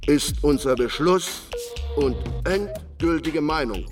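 An actor playing a solemn reader of rebel articles, proclaiming them formally and deliberately in a radio drama. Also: male voice, on the high side, animated. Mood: solemn, resolute. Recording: distant voice under music.

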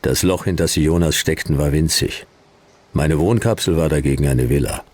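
An actor playing a cynical hard-boiled detective in a noir radio drama, speaking in dry, clipped, deadpan phrases. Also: male voice, very deep voice, moderate pace, breathy edge. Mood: terse, sardonic.

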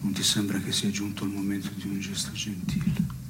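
A man's voice: sits low, monotone, a little fast, over music, lightly acted. An actor playing a pedantic, dejected man who needles his partner in absurdist stage dialogue. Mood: needling. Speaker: stage actor performing a two-person play.

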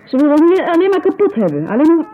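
An actress playing a distraught, bitter wife who recounts her story in an agitated, halting way, in naturalistic radio drama style. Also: high-pitched, big pitch swings, mellow. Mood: upset, indignant. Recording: thin phone-like 1970s radio, music behind.

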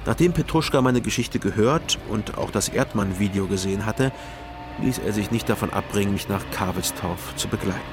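An actor playing a sober male private detective, narrating his case in a measured, matter-of-fact way for a radio crime drama. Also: lowish voice, noisy background, close to an everyday voice. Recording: music under the narration. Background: music.

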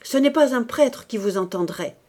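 A woman voicing a stern, forceful male priest with an urgent, commanding manner, in dramatic audiobook style. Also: fairly high pitch, lively intonation, moderate pace. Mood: stifled anger. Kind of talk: dramatic audiobook character acting.

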